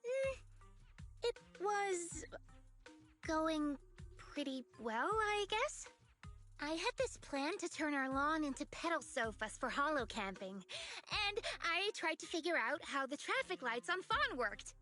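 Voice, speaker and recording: A woman voicing an excitable, eager young woman researcher obsessed with monsters in a video game. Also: sits high, takes it slowly, faint music behind, full character voice. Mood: eager, chatty. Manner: animated, eager, dramatic.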